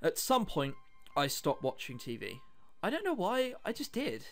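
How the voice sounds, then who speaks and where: A man's voice: high voice, huge pitch swings, normal speed, soft background music. A British man hosting a let's play, reading a visual novel aloud and adding chatty, jokey commentary. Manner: jokey, chatty asides between read passages.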